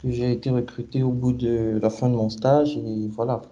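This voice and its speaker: A man's voice: medium pitch, little pitch movement, normal speed, warm tone, lo-fi sound. A man in his thirties from Cameroon, answering interview questions about his career, hesitant, with many fillers and restarts. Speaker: SAP consultant guest.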